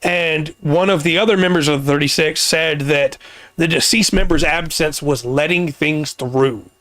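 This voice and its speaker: A man hosting a casual podcast, explaining things at length with pauses and restarts, his voice getting worn. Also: fairly high pitch, a bit bright, dry recording. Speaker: podcast host.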